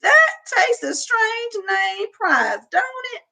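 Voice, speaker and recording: A woman voicing a kind, folksy old toothless woman who talks in a slow, drawling way. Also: sing-song, vocal fry, sharp tone, full character voice. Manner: slow drawl, high-pitched.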